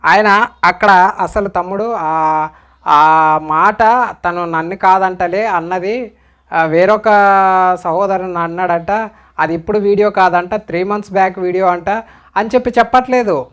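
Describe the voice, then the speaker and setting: A man's voice: high-pitched, unhurried, long pauses, fairly bright tone. Admonishing man giving commentary. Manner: admonishing, questioning, repeatedly urging listeners to reflect. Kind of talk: direct-to-viewer commentary.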